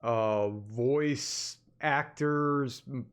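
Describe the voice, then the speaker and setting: A man's voice: medium pitch, very slow, clean tone. A man with a mid-range voice hosts a casual webcam update for his channel. He talks informally and straight to his viewers, as if chatting with them. He is recorded indoors in an office on a desktop USB microphone. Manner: informal, addresses viewers as "guys" repeatedly. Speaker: animation channel host. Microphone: desktop USB condenser microphone.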